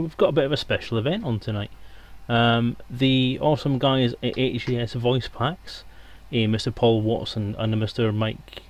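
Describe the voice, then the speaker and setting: A man's voice: mid-range voice, darkish tone. A man hosting a live stream on a headset microphone, chatting informally to viewers in a joking, digressive way. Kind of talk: casual rambling livestream chat to viewers.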